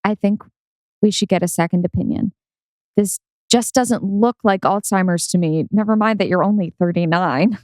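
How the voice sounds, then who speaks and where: Woman playing a worried wife, clear smooth voice. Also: medium pitch, normal speed, dry recording, natural voice. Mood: concerned. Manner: reassuring, gently insistent. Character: caring, worried wife and mother, gently firm. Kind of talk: dramatic audio script reading.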